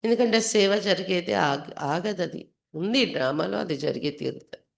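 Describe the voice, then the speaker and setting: A woman with a lowish voice with some creak gives a devotional reading. She teaches in an instructive way, translating line by line and repeating key phrases. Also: animated, moderate pace. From India. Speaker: spiritual teacher reading a daily scripture.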